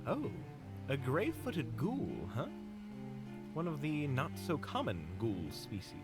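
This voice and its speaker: Man as a slow-talking, teasing monster hunter. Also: a little high, huge pitch swings, music in the background, heavily acted. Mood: curious. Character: playful, teasing, skittish monster hunter who jokes around. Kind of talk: audio roleplay acting.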